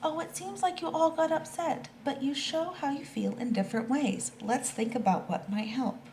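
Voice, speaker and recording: A woman voicing a gentle, reassuring porcupine who helps others in a storybook. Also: sits high, normal speed, voice pushed up. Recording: echoey room with clear background noise.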